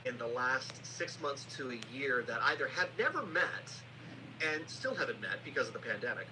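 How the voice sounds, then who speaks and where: A man's voice: mid-range voice, very animated, moderate pace. A man hosting a podcast, introducing and questioning his guest in a conversational way. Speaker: podcast host. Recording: noisy, humming live stream audio.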